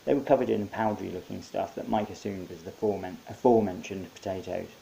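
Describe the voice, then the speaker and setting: A man's voice: low voice, fast talker, creaky, dark tone, a little hiss or hum, low-quality recording. A man narrating his own short story as an author reading from a script.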